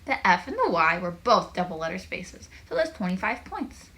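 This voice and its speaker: A woman's voice: fairly low pitch, big pitch swings, moderate pace, sharp tone, full character voice. A woman voicing a smug, competitive child who is easily fed up, in a children's storybook, with an emphatic delivery. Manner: emphatic, declarative.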